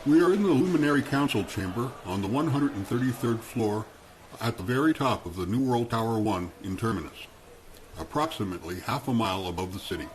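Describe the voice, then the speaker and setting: Low-voiced man narrating a radio play. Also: lively intonation, brisk, a little hiss or hum, low-quality recording.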